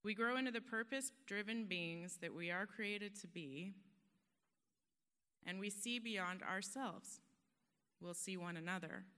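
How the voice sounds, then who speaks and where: A woman's voice: medium pitch, unhurried, pauses often, pushed and bright, clean tone. A woman giving an earnest, emphatic motivational talk on a conference stage, now and then laughing at herself. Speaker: conference speaker. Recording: long hall reverb.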